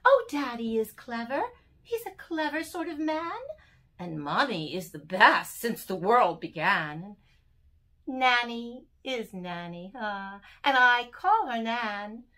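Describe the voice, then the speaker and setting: An older woman plays a little boy from a children's storybook, earnest and fond. The voice is singsong and emphatic, confiding each line at a measured pace. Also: fairly high pitch, big pitch swings, sharp tone, exaggerated voice.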